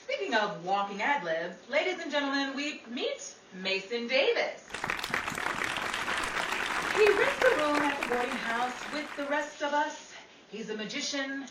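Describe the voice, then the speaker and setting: Lady doing a chatty quick-witted 30s radio comedy actress, swoops all over, echoey noisy stage recording. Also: sits high, big pitch swings, a loud crowd. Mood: wry, chatty. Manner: playful, wisecracking ad-libs. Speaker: host and lead actress. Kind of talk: 1930s radio comedy acting before an audience. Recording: roomy, distant, noisy live audience recording.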